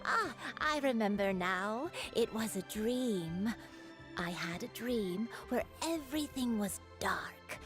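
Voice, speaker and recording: A slow, dreamy, forgetful granny. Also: female voice, a little high, lively intonation, over music. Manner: slow, wandering and childlike. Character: forgetful, dreamy granny who looks young. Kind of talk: game voice acting.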